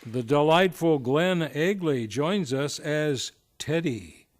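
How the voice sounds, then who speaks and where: A man's voice: fairly high pitch, lively intonation, takes it slowly, slight background noise. A man hosting and narrating a radio play with theatrical, grandiose scripted delivery. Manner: grandiose, showy announcing.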